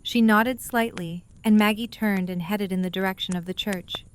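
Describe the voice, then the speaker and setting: Woman narrator reading a story, mid pitch, little bit of noise behind. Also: steady tone, moderate pace, poor audio.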